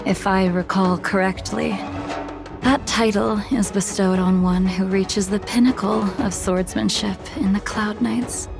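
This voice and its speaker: A calm, knowing swordswoman in a video game dub. She speaks very slowly and formally, with a creaky edge to her voice. She sounds composed and aloof, over faint music. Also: medium pitch. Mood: composed, appraising.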